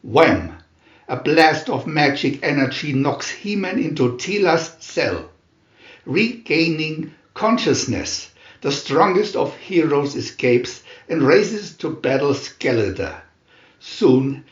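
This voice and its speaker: A man reading a storybook aloud as dramatic narration, in a theatrical, deliberate manner with emphatic announcements. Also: mid-range voice, reverberant, lo-fi sound. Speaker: reader of picture-reel story booklets.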